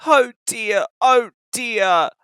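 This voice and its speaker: A proud young engine from a children's storybook chuffs along in a raised, bright voice. He is straining, stubborn and keen to prove himself. A storyteller voices him in a close, dry reading. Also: expressive, measured pace, dry recording. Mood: sad. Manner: high, strained, puffing. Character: young male tank engine, proud then determined.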